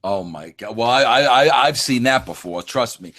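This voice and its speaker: A man's voice: medium pitch, animated, moderate pace, fairly bright tone. Stammering, teasing male podcast host. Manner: repeats words, stammering restarts, playful teasing. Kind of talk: casual interview chat.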